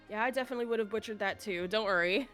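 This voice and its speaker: A woman giving playful color commentary on an esports match. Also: a little high. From United States. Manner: playful, laughs easily.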